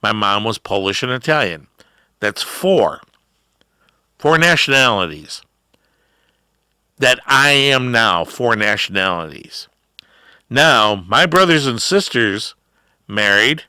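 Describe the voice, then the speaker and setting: Slow, bright-voiced middle-aged man ranting. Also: lowish voice, lively intonation, clean tone, no room sound, studio quality. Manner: drawn-out, rambling monologue with emphatic rises. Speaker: talk show host. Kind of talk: ranting political talk.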